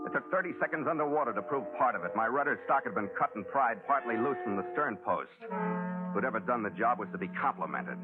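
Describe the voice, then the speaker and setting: A tinny vintage radio recording with prominent music carries an actor playing a hard-boiled American sea captain. He talks fast, terse and brusque, in the style of a 1940s adventure serial. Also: medium pitch, animated, natural voice. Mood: grim narrating. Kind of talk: 1940s radio drama narration and acting.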